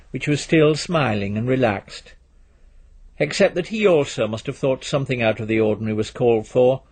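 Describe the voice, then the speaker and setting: A man's voice: medium pitch, husky. A man reading a literary story aloud as scripted narration.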